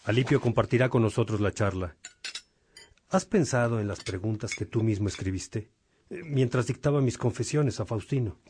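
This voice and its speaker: A man playing an earnest, fatherly monk and mentor in a radio drama, speaking in a measured, formal way. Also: lowish voice, a little mellow, husky. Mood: kindly, reflective.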